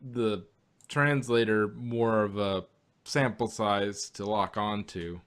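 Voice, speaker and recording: A man's voice: lowish voice, fairly even intonation, takes it slowly, a bit bright, smooth. A man co-hosting an audio drama review podcast, talking loosely with many restarts and easy laughs. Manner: rambling, restarts often, laughs easily. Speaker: podcast co-host. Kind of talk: casual review chat.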